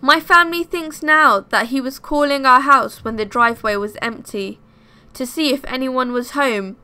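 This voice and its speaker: A woman reading first-person scary stories aloud as scripted narration, in a measured storytelling manner. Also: a little high, sharp tone, clear, resonant tone.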